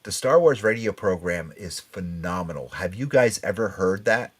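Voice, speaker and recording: Low-pitched man, enthusiastic podcast host. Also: lively intonation, normal speed, slightly rough. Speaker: podcast and radio show host. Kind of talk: casual pop culture chat.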